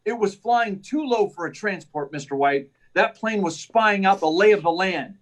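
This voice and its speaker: A man's voice: high-pitched, normal speed, natural voice. A man playing an earnest, determined hero reporter in an old-time radio drama, emphatic and urgent. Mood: urgent, resolute. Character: earnest, determined male reporter, secretly a superhero.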